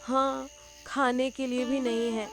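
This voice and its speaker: Cast: woman as a fretful cartoon wife, higher voice, music loud behind. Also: little pitch movement, slow pace. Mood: worried. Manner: anxious, pleading. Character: worried young village wife and mother.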